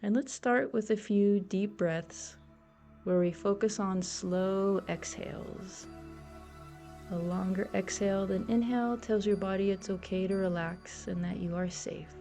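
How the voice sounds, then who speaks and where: Woman doing guided meditation, soft mellow voice w lots of vocal fry, music behind. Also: medium pitch, steady tone. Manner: soothing, gentle, unhurried encouragement.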